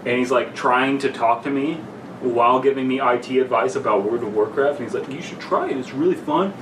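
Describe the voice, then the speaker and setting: A young man talks loosely and a little drunkenly, tripping over words as he reads and banters. He sits away from the mic in an echoey room with clear background noise. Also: mid-range voice, distant mic. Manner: halting, stumbles over reading. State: tipsy. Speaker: podcast co-host reading a story aloud. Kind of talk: casual banter and story reading among friends.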